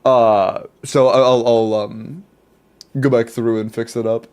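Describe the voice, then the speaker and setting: A man's voice: medium pitch, slow pace, clear, resonant tone. Young male streamer, congested, easygoing running commentary. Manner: relaxed, chatty, many fillers and laughs. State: stuffy nose. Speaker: streamer giving account advice. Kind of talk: casual live-stream commentary.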